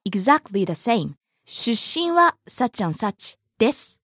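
A woman from Japan, teaching on a bilingual podcast language lesson and reading example phrases in a lively way. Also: a little high, a little slow, slightly rough, dry recording, clean studio sound. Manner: lively, gives example phrases and chuckles along.

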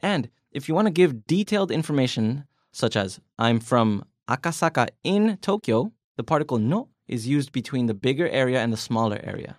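A man's voice: medium pitch, very animated, moderate pace, hardly any pauses, no room sound, clean studio sound. A man from the United States, co-hosting a bilingual podcast language lesson, explaining points and asking leading questions with light jokes. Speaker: podcast co-host.

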